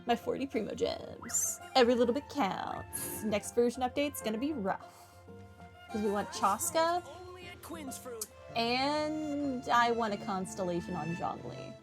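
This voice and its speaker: A woman's voice: a little high, lively intonation, a little slow. A woman streamer giving casual, chatty playthrough commentary with dry, self-deprecating humor. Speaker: game streamer. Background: game music.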